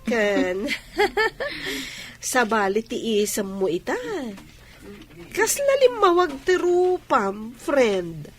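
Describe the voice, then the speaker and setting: A woman's voice: a little high, sing-song, extremely slow, pauses often, heavily acted. An actress playing a chatty, teasing, giggly woman friend in an Ilocano radio drama. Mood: teasing, curious.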